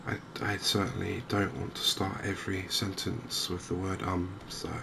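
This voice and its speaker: A softly spoken man giving hesitant, unhurried ASMR-style commentary over a video game. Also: low voice, monotone. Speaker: gaming video host. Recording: clear game ambience noise behind voice. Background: outdoor wildlife ambience from a fishing game.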